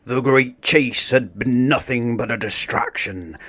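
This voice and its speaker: A man reading a children's story aloud in a theatrical, deliberate way. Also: mid-range voice, a bit bright, no echo. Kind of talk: dramatic children's story narration.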